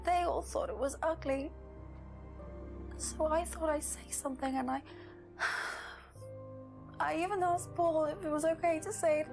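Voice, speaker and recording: A woman's voice: sits high, steady tone, measured pace, gravelly, long pauses, over music. A woman playing a guarded, uneasy young witness in a mystery game, speaking hesitantly and defensively. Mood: distressed. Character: guarded young female witness, uneasy former band singer. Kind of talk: acted dialogue in an FMV mystery game.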